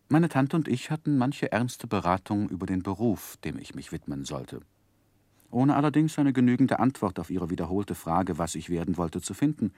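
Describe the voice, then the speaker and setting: An actor playing a grown gentleman who looks back on his youth, narrating a 1960s German radio play in a measured, expressive literary manner. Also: lowish voice, no room sound. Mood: wistful, amused.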